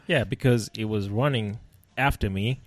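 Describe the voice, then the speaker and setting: A man's voice: fairly low pitch, normal speed, dry recording. A man from Kenya, a guest telling a funny travel story in casual chat and laughing often.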